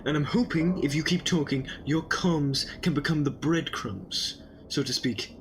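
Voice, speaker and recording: A man plays an eccentric time traveller in an audio drama. His voice sits a little high for a man and turns whimsical phrases with urgency. A faint noise of ambience lies behind him. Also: a little slow. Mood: brisk, purposeful.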